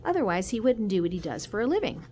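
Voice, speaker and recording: A woman's voice: medium pitch, sing-song, fast, dark tone, natural voice. A woman voicing an earnest, loyal schoolboy detective in a storybook, eager and insistent. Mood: protesting. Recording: noticeable background noise.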